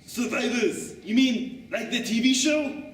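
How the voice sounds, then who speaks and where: A young man voicing a big, slow-witted teenage tough guy who talks bluntly. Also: very high-pitched, slight background noise, voice pushed up. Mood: confused. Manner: blunt, confused. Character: burly dim teen thug boy. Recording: echoey room, far camera.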